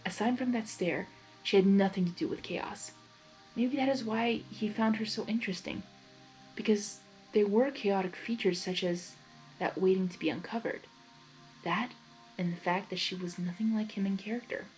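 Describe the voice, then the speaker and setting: A woman narrates a story in an informal reading style, dropping in excitable, gushing asides as a host. Her voice sits at an everyday middle pitch. Music plays behind her. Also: normal speed, poor audio. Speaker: host reading fan fiction aloud.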